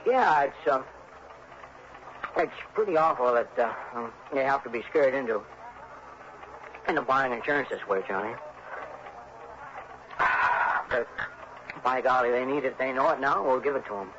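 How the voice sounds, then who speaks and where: A man's voice: medium pitch, a little slow, long pauses, lightly acted. An American actor playing a folksy, kind-hearted male small-town insurance agent in an early 1960s radio drama. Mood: earnest, troubled. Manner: folksy, hesitant interjections. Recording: dull, noisy old radio broadcast.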